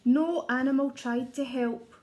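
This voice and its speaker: High-voiced woman reading a children's story. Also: unhurried. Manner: expressive, asks the young listeners questions. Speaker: early years teacher reading a story. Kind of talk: children's storybook read-aloud.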